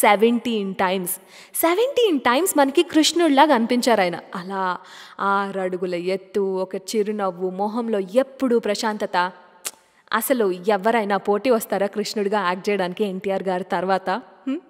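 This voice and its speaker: A woman's voice: medium pitch, fairly quick, long reverb tail. A woman hosting a radio show in a chatty, conversational way, putting questions to listeners.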